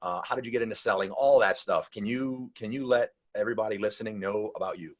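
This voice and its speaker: A man's voice: lowish voice, fast talker. A man hosting a podcast, chatting in a loose, rambling way with many restarts. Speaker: podcast host. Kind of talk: casual podcast chat.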